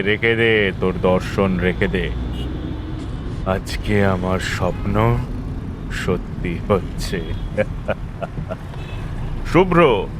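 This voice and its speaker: An actor playing a brash, boastful and commanding rich boss in an audio thriller. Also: male voice, lowish voice, noisy background.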